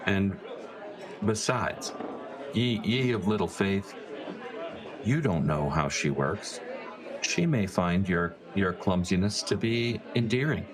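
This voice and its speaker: A man playing a stoic, patient adviser in a fantasy tabletop game, speaking in a measured, encouraging way. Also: deep voice, lively intonation, a crowd in the background. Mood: calm, encouraging. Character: patient, stoic, large blue-skinned robed male adviser. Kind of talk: improvised tabletop roleplay dialogue.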